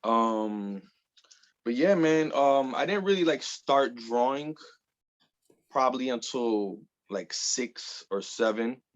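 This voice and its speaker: A man's voice: mid-range voice, lively intonation, slow. A man in his thirties from the Bronx, chatting loosely as an interview guest, full of fillers and casual swearing. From the Bronx, New York. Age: 30s. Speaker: guest artist.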